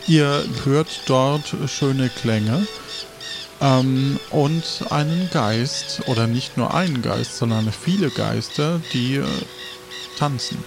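A man improvising narration as game master, in drawn-out, hesitant phrases. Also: medium pitch, gravelly, music in the background. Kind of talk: improvised narration of a role-play.

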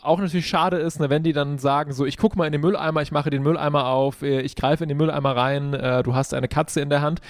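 A man's voice: a little high, fairly even intonation, quick pace, hardly any pauses, dry recording. A man talking as a podcast guest and sound designer, explaining his work in casual chat.

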